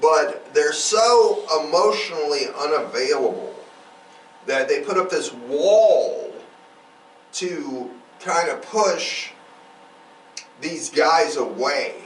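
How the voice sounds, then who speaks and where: Animated podcast host, man in echoey room. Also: on the high side, slow pace. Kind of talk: casual podcast chat. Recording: roomy echo, distant mic, faint noise.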